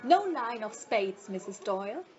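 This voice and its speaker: A woman's voice: fairly high pitch, sing-song, measured pace. A woman playing a polite, confident cruise passenger in an audio play, speaking in a matter-of-fact way. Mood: polite, pleased. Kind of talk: amateur audio play acting. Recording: muffled recording with background noise.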